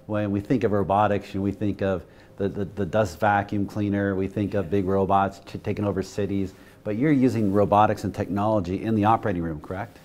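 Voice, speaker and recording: A man's voice: on the low side, steady tone, brisk, a little mellow. A middle-aged man hosting a studio interview show, asking questions in a friendly, upbeat way, on a lapel mic. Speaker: talk show host. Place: television studio set.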